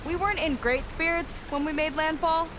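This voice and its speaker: An actress voicing a confident young woman boat captain who talks in a calm, assured way and takes charge. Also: sits high, moderate pace, background noise, close to an everyday voice. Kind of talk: video game cutscene acting. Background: game score music.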